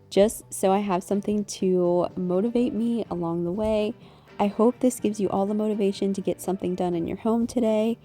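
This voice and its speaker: A woman's voice: mid-range voice, warm tone. A young adult woman hosting a cleaning channel, talking casually to her viewers through a cold that has left her voice a bit off, friendly and chatty with some fillers. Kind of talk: casual vlog talk to viewers. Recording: background music under the voice.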